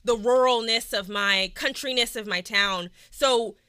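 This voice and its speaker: A young woman from Texas hosts a laid-back podcast conversation, talking frankly and chattily with plenty of fillers. Her voice is very bright and edged, sitting a little high, and the sound is close and dry. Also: measured pace, clean tone, no room sound. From Texas, USA. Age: young adult. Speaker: podcast host. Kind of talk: casual podcast chat.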